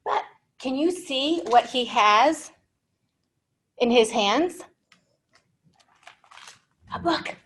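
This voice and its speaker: A woman's voice: high voice, animated, very slow, pauses often, a bit bright. A woman in her thirties reading a picture book to young learners as a storytime host, expressively, with simple questions and explanations. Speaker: children's librarian hosting storytime. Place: indoor library room.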